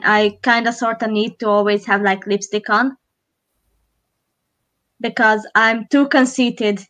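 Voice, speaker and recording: A woman's voice: medium pitch, fairly bright tone, low-quality recording. Hungarian-accented woman chatting casually. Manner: rambling, with frequent restarts and laughs. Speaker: live stream co-host. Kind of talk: casual chat while doing makeup.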